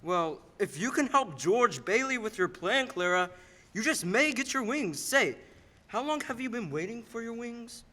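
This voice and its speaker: A man playing a patient, authoritative superintendent of angels in a radio play, explaining things in a measured briefing manner. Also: very high-pitched, sing-song, sharp tone, exaggerated voice. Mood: patient, instructing. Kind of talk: 1940s radio play acting. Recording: stage radio-play performance.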